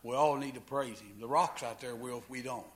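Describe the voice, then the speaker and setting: A man's voice: medium pitch, brisk. A man pastor leading a church service, fervent and exclaiming, calling for testimonies and praise. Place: church sanctuary.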